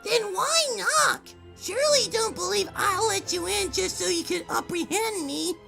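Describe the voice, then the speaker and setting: A man doing a hammy, gravelly stage villain. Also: very high voice, lively intonation, moderate pace, over loud music, pitched above the performer's own voice, exaggerated voice. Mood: hammy wary. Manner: theatrical, wary. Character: hammy male villain in a school play.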